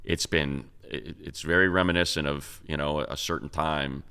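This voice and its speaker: Male sports host, American, extremely low voice with a bright, forceful edge, emphatic conversational analysis. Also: fairly even intonation, moderate pace, creaky, clean tone. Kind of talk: casual sports analysis talk.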